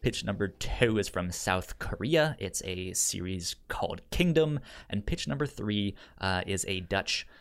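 A man with a pronounced stutter, speaking haltingly and casually as a podcast co-host pitching shows. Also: expressive, gravelly, fairly bright tone. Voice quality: pronounced stutter with repeated sounds and syllables.

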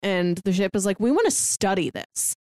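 A woman's voice: mid-range voice, expressive, normal speed, a little mellow, no room sound, clean studio sound. A woman co-hosting a podcast, chatting casually and retelling a story in an informal way with frequent asides. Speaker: podcast co-host. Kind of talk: casual book discussion chat.

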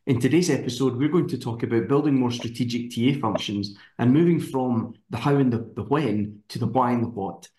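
A man hosting an interview podcast, politely introducing his guest and then asking relaxed questions. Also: mid-range voice, a little fast, hardly any pauses, slight background noise. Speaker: podcast host. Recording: echoey room.

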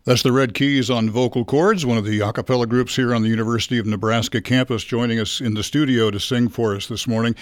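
A man's voice: mid-range voice, fairly even intonation, quick pace, few pauses. Easygoing male radio host. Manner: easygoing, conversational questions. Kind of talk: radio studio interview. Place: radio studio.

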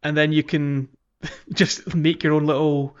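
A man's voice: on the high side, little pitch movement, unhurried. A man chatting casually as the co-host of a podcast. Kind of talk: casual chat. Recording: dull lo-fi audio, faint music.

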